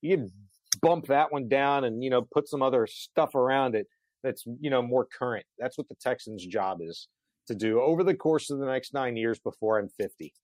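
A man's voice: medium pitch, moderate pace, a little mellow, no echo, low-quality recording. A man in his forties co-hosting a sports show, chatting in an emphatic, colloquial, ranting way. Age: 40s. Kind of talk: excited sports talk chat.

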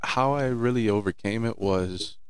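A man's voice: lowish voice, normal speed, clean tone, no room sound. A young man, a business owner as a guest, chatting casually in an interview and agreeing easily. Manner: relaxed, agreeable, lots of fillers.

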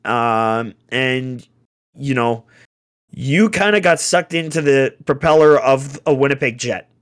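A young man on a casual sports chat, ranting in an exasperated way with rhetorical questions, his voice going hoarse. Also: mid-range voice, slow pace. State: getting hoarse. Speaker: podcast co-host, hockey fan.

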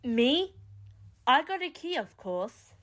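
A woman's voice: high-pitched, sing-song, takes it slowly, fairly bright tone, full character voice. A woman playing a smug, sly betrayer in an audio drama, teasing and flippant.

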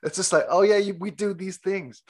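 A man's voice: high voice, fairly even intonation, fairly quick. A man hosting his own podcast, introducing and interviewing a friend in a friendly, enthusiastic way. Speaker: podcast host. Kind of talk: casual interview.

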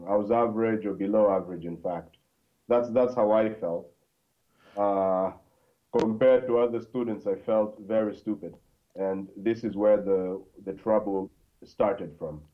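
A man from Congo, a podcast guest, telling his story in a halting way with many fillers and restarts. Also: lowish voice, fairly even intonation. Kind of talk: conversational interview. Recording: tinny phone-like line.

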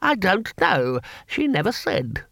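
A man voicing a dithering elderly wizard scholar who muses slowly. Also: high-pitched, huge pitch swings, vocal fry, a bit bright, no room sound, clean studio sound, pitched above the performer's own voice, full character voice. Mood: musing. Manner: slow and musing.

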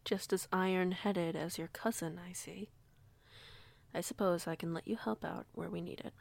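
A woman playing a young, kindly, protective werewolf pack alpha in an audio roleplay, measured and gently authoritative. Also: fairly low pitch, fairly even intonation, vocal fry. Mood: kindly, assured.

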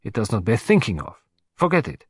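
An excitable, vain elderly detective, as a man reads him, bursts out in fussy, theatrical exclamations. The voice leaps high and swings widely. The sound is close and dry. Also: a little fast, slightly rough, no room sound. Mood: agitated.

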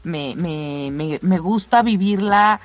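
A woman's voice: lowish voice, slow, soft background music. A woman chatting casually as a radio co-host. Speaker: talk show co-host.

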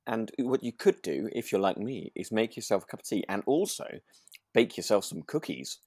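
Male podcast co-host, British accent, low pitch, casual conversational delivery, dry recording. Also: sing-song, normal speed, darkish tone, slightly rough, dry recording. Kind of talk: casual chat.

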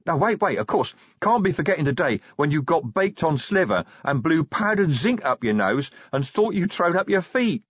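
A man's voice: a little high, big pitch swings, normal speed, hardly any pauses, breathy edge, no room sound, dull audio, pitched above the performer's own voice. A man voicing a skinny, dim-witted, drug-addled troll in a fantasy audiobook, with hesitant, rambling, ungrammatical speech. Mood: rambling, dazed.

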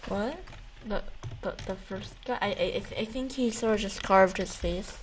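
A woman's voice: medium pitch, moderate pace. Casual slangy woman, noticeably noisy recording. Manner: rambling, slangy, jokey retelling. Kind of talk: casual chat.

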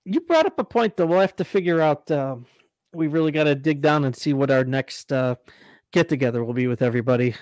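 Fairly high-voiced guy rambling through a story on a podcast, dry close mic. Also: animated, fairly quick, a little mellow, no room sound. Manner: rambling storyteller, lots of restarts and laughs. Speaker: podcast co-host. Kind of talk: casual chat.

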